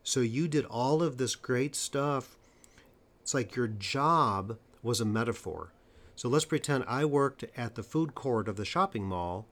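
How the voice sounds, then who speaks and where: A man interviewing a guest in a conversational way, stressing points emphatically and slowing down for them. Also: medium pitch, animated, a bit bright. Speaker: interviewer and stuttering coach.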